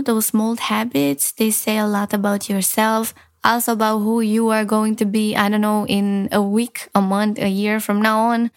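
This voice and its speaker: A woman's voice: mid-range voice, dry recording. Earnest young woman, dry close voice. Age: 20s. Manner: earnest, frequent "like" fillers, repeats phrases for emphasis. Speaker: self-help vlogger. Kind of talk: casual motivational talk to camera.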